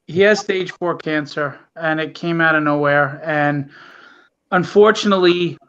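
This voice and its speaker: A man's voice: a little slow, a bit bright, clean tone. A man giving an earnest, candid, casual account of his project as an interview guest. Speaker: guest, comic book writer and publisher. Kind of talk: casual interview talk, plugging and explaining a project. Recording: dull, low-fidelity call audio.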